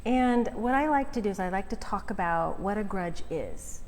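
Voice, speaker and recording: A woman's voice: mid-range voice, animated, moderate pace. A woman speaking as a guest coach, explaining conversationally and often checking in with the listener. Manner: outgoing, often checks in with "right?". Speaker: forgiveness coach, interview guest. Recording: echoey hall with clear background noise.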